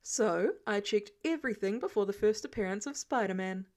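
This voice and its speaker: A woman's voice: medium pitch, expressive, a little fast, a little mellow, lightly acted. A woman voicing a sardonic older male inventor who teases his young companion in an audiobook story. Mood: explaining, wry. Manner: dry, exasperated, teasing.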